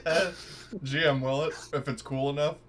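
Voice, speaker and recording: A man's voice: a little high, fairly even intonation, moderate pace. A man playing a tabletop game, chatting casually and bluntly with plenty of swearing. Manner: foul-mouthed, blunt. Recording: clear background noise and ambience.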